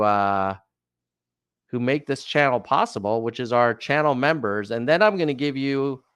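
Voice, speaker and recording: A man's voice: medium pitch, fairly bright tone, clean tone, no echo, clean studio sound. A man hosting a casual news commentary show, talking in a chatty way with offhand asides.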